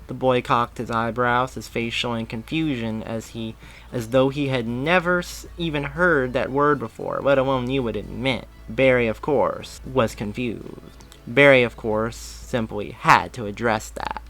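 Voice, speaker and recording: A man reads a fan-fiction story aloud in a steady voice, now and then stumbling and restarting a phrase. His voice is bright and forceful, at a middling pitch for a man, with faint music behind. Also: a little slow. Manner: steady, with small slips and restarts. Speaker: story reader.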